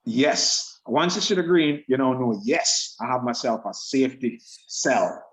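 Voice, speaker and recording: A man, giving an upbeat, persuasive sales pitch about a trading program over a video call. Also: expressive, measured pace, low-quality recording. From Jamaica. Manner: upbeat, persuasive, repeats words.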